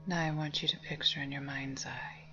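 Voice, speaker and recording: Female meditation guide, low soft breathy voice, calming near-whisper, slightly muffled lo-fi recording. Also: moderate pace. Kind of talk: guided meditation, slow spoken instructions. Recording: dull lo-fi sound under music. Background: meditation music with singing bowls.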